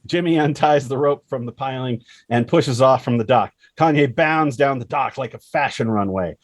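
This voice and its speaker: A man's voice: medium pitch, normal speed, no echo. A man reading a screenplay's action lines as dramatic narration, expressively and in short punchy phrases. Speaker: screenplay reader.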